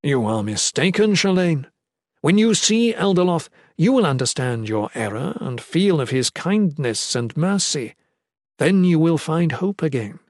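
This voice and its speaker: A reverent zealot lord , voiced by a male narrator, formal and commanding. He intones piously in a raised pitch, in a dry fantasy audiobook recording. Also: very animated, measured pace, no echo. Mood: pious, assured.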